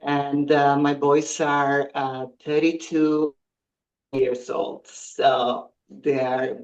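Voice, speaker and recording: A woman answers interview questions on a podcast. She speaks slowly and haltingly, pausing often and filling the gaps with ums and uhs as she searches for her words. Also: a bass voice, level tone, a little mellow, highs cut off. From Croatia. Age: 50s. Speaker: guest athlete.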